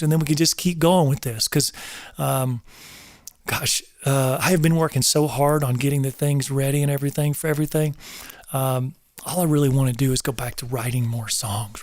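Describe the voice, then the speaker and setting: A middle-aged man with a somewhat high voice talks to his livestream viewers in a loose, rambling way, circling back over his words. He sounds earnest and a little preacherly. The sound is dry and close to the mic. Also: steady tone, moderate pace, no echo. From North Georgia, US South. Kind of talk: casual livestream talk to viewers.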